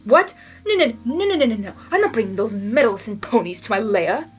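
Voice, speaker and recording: A woman voicing a possessive male chaos creature in a fanfiction story, a loud, coaxing suitor full of nervous bluster. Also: a little high, sing-song, fairly quick, faint music behind. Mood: indignant.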